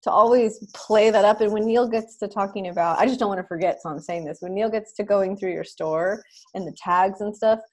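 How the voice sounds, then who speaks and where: A woman's voice: medium pitch, fairly quick. Eager woman co-hosting a podcast. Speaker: podcast co-host. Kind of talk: casual interview chat.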